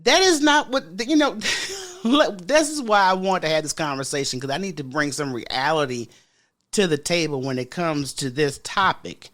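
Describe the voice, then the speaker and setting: A woman with a deep voice hosting a podcast in casual solo chat, frank and sassy, talking straight to her listeners. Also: normal speed, a bit bright. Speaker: podcast host.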